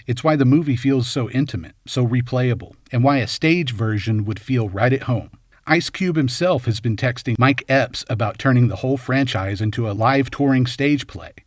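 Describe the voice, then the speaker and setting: A man's voice: medium pitch, normal speed, dry recording, studio quality. A man reading scripted film commentary as host, in a wry, tongue-in-cheek way. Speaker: video essay host.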